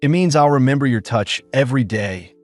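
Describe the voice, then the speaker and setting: A man voicing a quiet, wounded young alien woman who speaks low and direct. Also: animated, a little fast, no echo, faint music behind, natural voice. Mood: soft, earnest.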